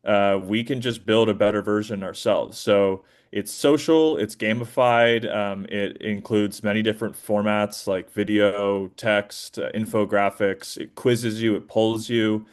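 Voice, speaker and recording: Low-pitched man in a conversational interview. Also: fairly even intonation, clear, resonant tone. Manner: informal, halting, frequent "like" and "you know". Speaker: interview guest, app founder.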